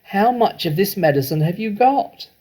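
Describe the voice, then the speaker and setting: Storybook role: small, easily worked-up father man acting, shouting frantically, pitched well above normal. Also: animated, normal speed. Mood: eager shouting.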